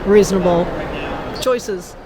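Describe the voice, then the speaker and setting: A woman's voice: mid-range voice, takes it slowly, over a noisy crowd. A woman interviewing a guest in a conversational way, recorded live at a convention. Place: live convention, busy surroundings.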